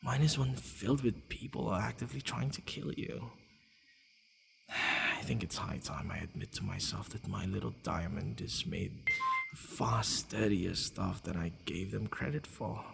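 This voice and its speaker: A man playing a flustered, secretly tender vampire lord in an ASMR roleplay, with a gravelly voice and a hesitant, sighing delivery. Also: low-pitched, a bit bright, slight background noise, full character voice, rough recording. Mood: weary, musing. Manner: hesitant, sighing, murmuring to himself. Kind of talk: ASMR boyfriend roleplay audio.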